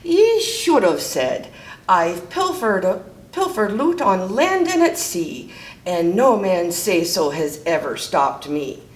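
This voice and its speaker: Blustery male pirate skipper, woman reader, storybook style, hollering, very animated, echoey room. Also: medium pitch, unhurried. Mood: scolding. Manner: bellowing, hollering pirate talk. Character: blustery, demanding, short-tempered male pirate captain. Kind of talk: children's storybook character voice.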